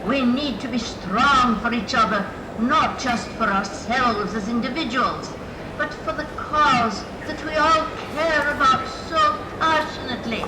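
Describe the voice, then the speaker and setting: An actress playing a fervent leader of a cult-like group, exhorting followers in a deliberate rallying speech for an audio drama. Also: female voice, sits high. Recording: echoey, noisy gathering ambience.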